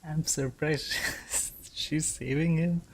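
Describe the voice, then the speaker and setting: A woman's voice: deep voice, steady tone, slow pace, a little hiss or hum. A woman giving casual live reactions as she streams a game, in brief, muttered remarks. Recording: loud game music behind the voice. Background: video game music and audio.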